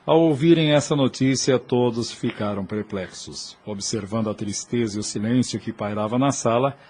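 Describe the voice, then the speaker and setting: A man's voice: mid-range voice, moderate pace, darkish tone, slight background noise. A man narrating a religious audio drama from a script in a solemn, literary manner.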